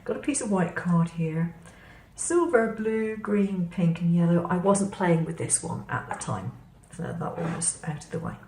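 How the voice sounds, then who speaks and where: A slightly hoarse woman talks through her craft materials in a relaxed, chatty way, taking her time over each one. Her voice sits a little low. The recording is roomy, with a faint hum behind her. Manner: unhurried, chatty explaining while handling materials. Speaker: craft vlogger. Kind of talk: casual product demonstration. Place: indoors at a crafting table.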